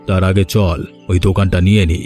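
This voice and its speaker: A man's voice: sits low, flat delivery, moderate pace, dark tone, faint music behind, lightly acted. A man playing a scheming, domineering older village landlord in a cartoon, with a commanding, condescending manner. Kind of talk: Bengali cartoon voice acting.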